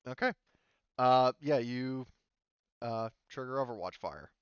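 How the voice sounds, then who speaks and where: A man's voice: mid-range voice, a little slow, smooth, no echo. Man game master with a dull, lo-fi sound. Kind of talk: casual narrating of a tabletop roleplaying game.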